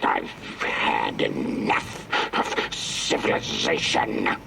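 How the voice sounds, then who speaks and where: A man voicing a fed-up, rambling severed head in a video game, croaky, stammering and grumbling in exasperation. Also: a bass voice, sing-song, drawn-out words, bright, forceful tone, distant mic, slight background noise, exaggerated voice. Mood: exasperated. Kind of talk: video game character acting.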